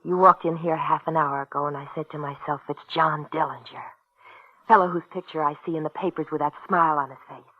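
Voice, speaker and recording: An actress playing a frank, plainspoken young diner waitress, wistfully smitten, in a 1950s radio drama. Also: female voice, low voice, steady tone, a little fast, husky, dry recording. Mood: knowing, intimate. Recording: very muffled, dry vintage radio recording.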